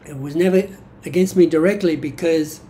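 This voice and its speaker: An elderly man with an Australian accent speaks in a fairly high, soft-edged mellow voice. He talks reflectively and haltingly, often correcting himself. Some background noise sits behind him in a room at home. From Greek-born, grew up in Australia. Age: 70s. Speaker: vlogger sharing personal history. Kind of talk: reflective monologue from notes. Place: small indoor room at home.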